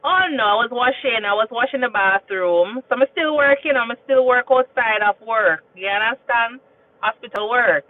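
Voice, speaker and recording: A woman's voice: a little high, moderate pace. A woman from Jamaica with a Jamaican accent, chatting casually on a livestream, telling stories and often repeating herself. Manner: chatty and storytelling, repeats phrases and asks "you understand?". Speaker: nurse and livestream host. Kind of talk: casual livestream chat reading viewer comments. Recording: tinny phone-like sound.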